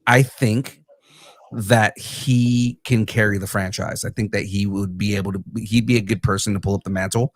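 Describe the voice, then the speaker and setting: A man with a fairly low voice co-hosting a pop culture podcast. He gives earnest opinions, pausing often with fillers and restarting his sentences. The recording is dry and close. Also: steady tone, dry recording. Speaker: podcast co-host. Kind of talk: casual chat.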